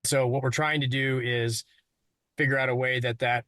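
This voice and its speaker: A man's voice: medium pitch, steady tone, moderate pace, bright, forceful tone, dry recording. American man explaining in a dry recording. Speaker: local public safety official. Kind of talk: spontaneous interview explanation.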